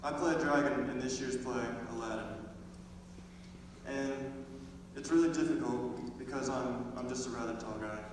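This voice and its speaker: A man's voice: mid-range voice, moderate pace. A teenage male theater student delivering a scripted monologue to an audience on stage. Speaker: high school theater student. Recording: very echoey hall, distant mic, clear noise.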